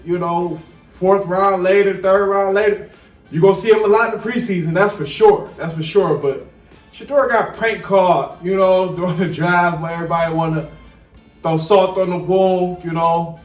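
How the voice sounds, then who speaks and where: A man with a slightly high voice hosts a casual sports commentary video. He talks in slang and repeats his phrases for emphasis. The sound is roomy and distant from the mic, with faint music. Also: steady tone, moderate pace.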